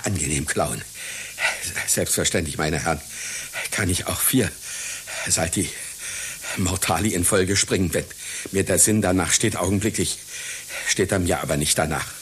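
An actor playing a proud, scatterbrained elderly circus clown in a radio satire, speaking pedantically and formally. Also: male voice, sits low, normal speed, pauses often, fairly bright tone, slight background noise. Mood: haughty. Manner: pedantic, formal, easily flustered and stammering. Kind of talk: satirical German radio play acting.

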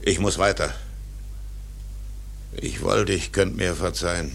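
A man playing a brooding, obsessed old whaling captain in a German radio drama, with a gravelly, declamatory delivery. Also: low voice, steady tone, slow, full character voice. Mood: hard, refusing. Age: older. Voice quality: gravelly, rough.

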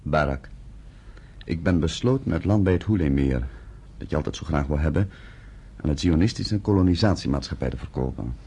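Very deep, grave village headman. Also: lively intonation, fairly quick, warm tone, slightly rough, heavily acted. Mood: firm, persuading. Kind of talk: radio drama acting.

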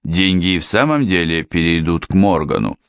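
Man voicing a calm stern lawyer. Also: low-pitched, clear, resonant tone, no room sound. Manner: measured, calm, firm. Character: composed male lawyer, polite and stern. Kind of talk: audiobook character voice.

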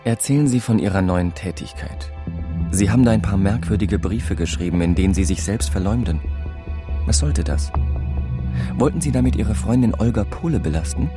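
A stern male defence lawyer with a low voice. He questions formally and insistently in a radio crime play, with music clearly underneath. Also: animated, moderate pace. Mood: sceptical, insistent. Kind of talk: German radio crime drama acting.

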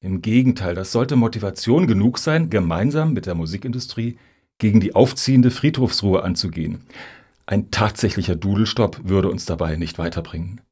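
Actor as pompous low-voiced male lobbyist. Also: expressive, moderate pace, a little mellow, slightly rough. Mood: pompous, persuasive. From Hamburg, Germany. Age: middle-aged. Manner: formal, jargon-heavy, pompous. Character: earnest, self-justifying middle-aged male music-industry lobbyist. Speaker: actor in satirical radio play. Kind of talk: radio satire dialogue.

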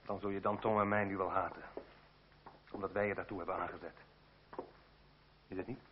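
An actor in a radio play as a duellist's loyal friend, earnest, concerned and excitable. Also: male voice, deep voice, fairly quick, lightly acted. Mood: anxious. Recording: muffled, noisy old radio drama, crowd behind.

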